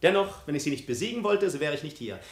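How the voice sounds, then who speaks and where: A man's voice: fairly high pitch, lively intonation, lightly acted. An actor playing a bold, witty foreign prince in 1950s radio drama style, courtly and teasing as he spins his tales with irony. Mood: bold, provoking. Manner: courtly, teasing, ironic, unhurried storytelling. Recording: roomy 1950s radio studio recording.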